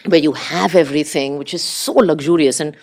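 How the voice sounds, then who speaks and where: A middle-aged woman with an Indian accent and Urdu as her first language, telling personal stories conversationally as an interview guest in an animated, anecdotal way. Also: low-pitched, brisk. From India, raised abroad in diplomatic postings. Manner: animated, anecdotal, acts out quoted remarks.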